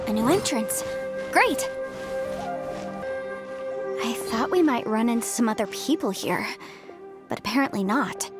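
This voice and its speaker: A woman playing a talkative, upbeat young legal adviser in a video game, with an expressive way of speaking. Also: a little high. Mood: curious. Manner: expressive, talkative, self-prompting.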